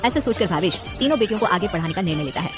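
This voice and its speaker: A woman's voice: lowish voice, animated, rapid-fire, music in the background. A woman narrating a scripted cartoon family story as its storyteller.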